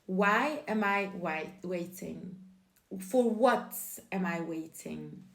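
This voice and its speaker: A woman's voice: on the low side, slow, gravelly, roomy sound. A young woman from Belgium whose first language is Dutch talks in English in a casual, giggly, apologetic chat to camera. She records on her phone. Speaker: vlogger.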